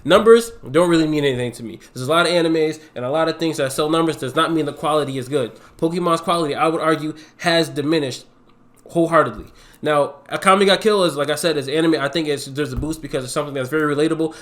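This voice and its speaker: A young man co-hosting a podcast, arguing his side in a casual debate, fast and animated. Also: a little high. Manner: fast, animated, laughs and repeats himself.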